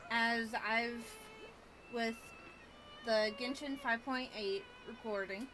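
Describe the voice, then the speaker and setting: A woman giving casual gameplay commentary in a halting way, often trailing off mid-thought. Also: a little high, over music. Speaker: gamer recording a playthrough.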